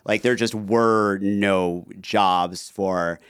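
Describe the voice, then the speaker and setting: A man's voice: fairly low pitch, fairly even intonation, drawn-out words, a bit bright, clean tone, no echo, clean studio sound. A man in his thirties, a podcast guest telling stories in a chatty, casual way, with lots of "like" and "you know". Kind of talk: casual interview chat.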